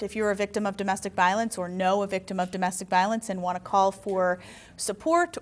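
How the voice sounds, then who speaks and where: A woman guest on a talk show, earnestly explaining a domestic violence program's services. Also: mid-range voice. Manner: earnest, informative, some restarts. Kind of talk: interview answers.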